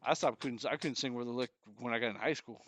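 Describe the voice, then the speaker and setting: A man's voice: mid-range voice, fast, fairly bright tone, dry recording, clean studio sound. A man in his forties co-hosting a podcast, chatting casually with a joking, profane manner. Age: 40s. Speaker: podcast co-host. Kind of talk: casual chat.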